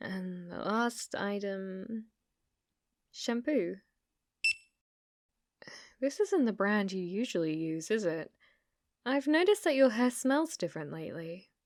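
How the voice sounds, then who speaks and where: Woman acting slow, obsessive British stalker girl. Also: mid-range voice, expressive, darkish tone, dry recording, studio quality. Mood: polite, probing. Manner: halting, flustered, slipping into breathy menacing whispers. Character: obsessive, delusional young female shop cashier stalker. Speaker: ASMR roleplay voice actor. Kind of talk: ASMR roleplay, close and intimate.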